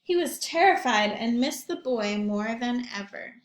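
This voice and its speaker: A woman teacher reading aloud and talking to young children in an expressive storytelling manner, with questions and asides. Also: on the high side, a little slow, roomy sound. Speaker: primary school teacher reading aloud to pupils.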